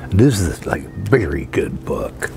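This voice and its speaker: A man, whispering softly and haltingly in an ASMR librarian roleplay, often murmuring little affirmations. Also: sits low, sing-song, gravelly. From United States. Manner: halting, rambling, repeats soft affirmations. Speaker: ASMR performer playing a librarian. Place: outdoors at a metal picnic table under a shelter. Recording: noisy outdoor recording with traffic-like hum.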